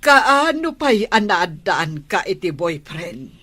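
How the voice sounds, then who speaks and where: A woman's voice: lowish voice, sing-song, slow, sharp tone, no room sound, heavily acted. A middle-aged woman playing a suspicious mother who nags and scolds her daughter in an audio comedy drama. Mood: scolding. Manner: nagging, scolding questions.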